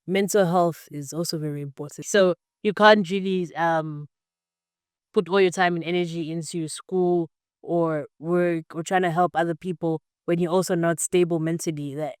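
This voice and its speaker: Young woman, podcast guest, lowish smooth voice, earnest and explanatory, dry close mic. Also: steady tone, normal speed, a little mellow, no room sound. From Zambia. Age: 20s. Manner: earnest, explanatory, frequent "um" and "basically". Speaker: podcast guest, computer science student. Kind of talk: casual interview answers.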